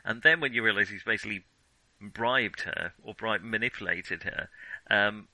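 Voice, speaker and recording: A man's voice: lowish voice, moderate pace, bright, forceful tone, no echo, low-quality recording. A man co-hosting a film podcast, chatting casually with wry, enthusiastic humour. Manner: enthusiastic, chuckling, wryly joking.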